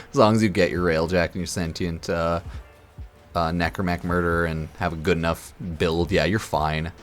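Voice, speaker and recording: A slightly drunk man giving casual, rambling game commentary as a streamer, blunt, profane and opinionated. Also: lowish voice. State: a bit drunk. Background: music under the voice.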